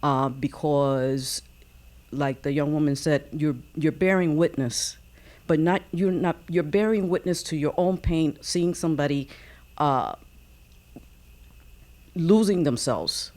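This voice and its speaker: A woman offers a reflective comment from an audience. She speaks slowly in a low voice, choosing her words with halting, deliberate phrasing. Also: darkish tone. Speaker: audience member.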